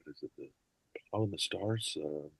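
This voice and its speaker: Gravelly, low-voiced older man telling stories. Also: normal speed, no room sound. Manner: rambling storyteller, slips into accent impressions. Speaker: podcast guest, actor. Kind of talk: casual interview chat.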